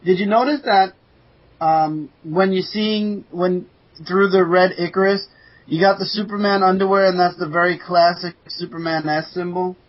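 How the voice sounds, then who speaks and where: Male podcast co-host, lighter-pitched voice, informal recap chat, dull recording. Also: fairly even intonation, moderate pace. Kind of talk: casual chat, comic book recap.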